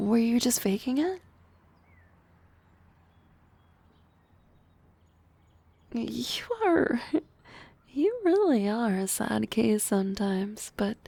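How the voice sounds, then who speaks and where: A woman acts out a young harpy classmate, shy yet bold in love, for an ASMR roleplay. Her voice is soft, breathy and often drops to a whisper. She speaks slowly and haltingly with a teasing edge, in a very dry, close recording. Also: on the high side, no echo, exaggerated voice. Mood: flustered, disbelieving.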